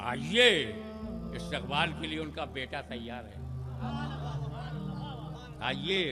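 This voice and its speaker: A man delivering an impassioned religious speech, shouting rhythmic refrains to a gathering. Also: fairly high pitch, animated, slow pace, music in the background. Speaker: Islamic preacher.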